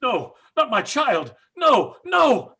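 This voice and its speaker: A man's voice: very high voice, sing-song, slow, sharp tone, exaggerated voice. An actor playing a desperate, guilt-stricken father in an old-time radio horror play, stammering and agitated. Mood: frantic. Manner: agitated, stammering, breathy exclamations. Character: desperate, guilt-stricken father searching for his abducted daughter.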